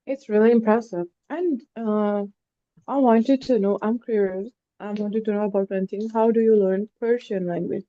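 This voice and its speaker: A woman's voice: mid-range voice, fairly even intonation, normal speed, dry recording. Encouraging woman teacher on dull call audio. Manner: encouraging, affectionate, calls students "dear". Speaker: English teacher. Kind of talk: online class feedback. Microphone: online call.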